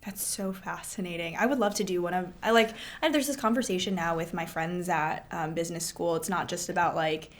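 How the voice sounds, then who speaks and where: A woman's voice: lowish voice, fairly quick, few pauses. A woman hosting an interview podcast, affirming her guest often and asking questions in a casual, friendly way. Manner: warm, affirming, many mm-hmms. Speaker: podcast host.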